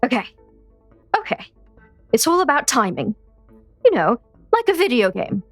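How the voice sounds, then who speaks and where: Plucky action heroine, female actor: slightly high voice, competitive, earnest, defiant, faint music underneath. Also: big pitch swings, moderate pace. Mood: determined. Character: feisty young heroine, determined and competitive.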